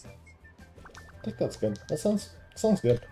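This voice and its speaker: A man's voice: on the high side, sing-song, takes it slowly, warm tone, soft background music. A man giving casual gameplay commentary, muttering to himself as he thinks aloud. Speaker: video game streamer.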